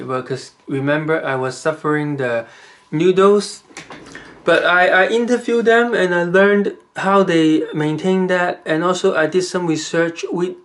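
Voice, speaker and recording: A man with a Chinese accent, giving rambling, halting advice to the camera in a casual vlog, with many fillers and restarts. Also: fairly high pitch, fairly bright tone. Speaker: vlogger.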